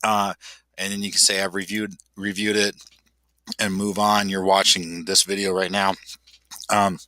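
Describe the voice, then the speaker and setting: A man with a nasal voice talks a client through the steps on screen in a loose, self-deprecating way. He restarts his sentences often and fills the gaps with "uh" and "um." The voice is fairly low but very bright, recorded close on a dry voice-over mic. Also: no echo. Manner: casual, self-deprecating, many fillers and restarts. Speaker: property manager guiding a client. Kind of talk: screen walkthrough tutorial.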